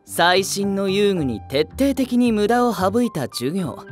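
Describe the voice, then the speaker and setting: A man's voice: sits high, very animated, slow, faint music behind, heavily acted. An actor playing a chatty young male nursery teacher in an audio drama, with a playful, teasing manner. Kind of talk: Japanese audio drama acting.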